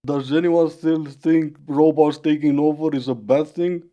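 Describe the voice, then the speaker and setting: Slightly hoarse man, mellow-voiced mocking commentator, dull lo-fi recording. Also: a little high, steady tone, measured pace. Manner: mocking, dry sarcasm. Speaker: reaction commentator. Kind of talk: sarcastic commentary on video clips.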